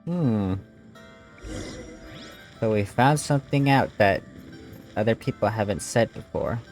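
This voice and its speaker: A woman's voice: rumbling low, unhurried. A woman giving casual, exclamatory reaction commentary while playing a video game. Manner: expressive, exclamatory. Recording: game music behind the voice.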